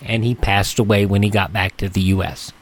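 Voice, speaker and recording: A man's voice: fairly low pitch, fairly even intonation, a little fast, rough recording. An American man hosting a radio program and reading items in an announcer-like way, with some hesitations. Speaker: radio show host.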